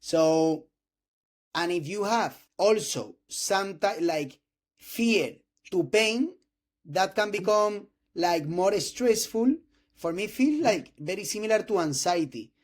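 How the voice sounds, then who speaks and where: Spanish-accented young man, higher voice. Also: lively intonation. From Spain. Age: 20s. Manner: halting non-native English with restarts and throat clearing. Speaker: host interviewing a doctor. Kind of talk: casual interview talk.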